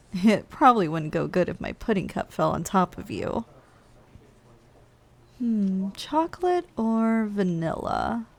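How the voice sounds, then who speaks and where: Giantess office worker , female performer: medium pitch, weary and blunt, musing conversationally in an ASMR roleplay, lightly teasing. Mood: curious, musing.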